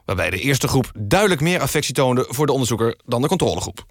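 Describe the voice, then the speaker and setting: A man hosting a satirical radio magazine in a deadpan, mock-serious way. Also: mid-range voice, fast talker, over loud music. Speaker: radio host. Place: dry radio studio.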